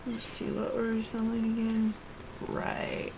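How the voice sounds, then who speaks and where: A woman with a creaky voice live-streaming a game, speaking casually as she plays. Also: a little high, monotone, a little slow, background noise. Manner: thinks aloud in short fragments. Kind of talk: live game stream, reading quest dialogue aloud and muttering casually.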